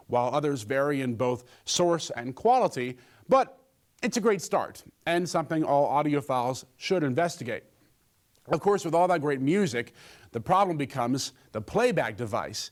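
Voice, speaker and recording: Guy doing a scripted tech review, slightly high voice, bit jokey. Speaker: product reviewer and presenter. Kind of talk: scripted gadget review with comic asides.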